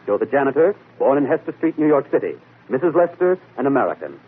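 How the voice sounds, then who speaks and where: A man's voice: mid-range voice, big pitch swings, quick pace, breathy edge. A man playing a confident, methodical amateur detective in 1940s radio mystery style, explaining deductions by posing and answering questions. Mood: brisk, assured deduction. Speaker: detective and show host. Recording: muffled, lo-fi vintage radio broadcast.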